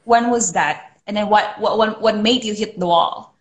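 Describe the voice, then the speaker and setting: A woman's voice: medium pitch, moderate pace. A woman hosting a casual live-stream interview over a video call, hesitant, with many fillers and repeated words. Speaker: interview host.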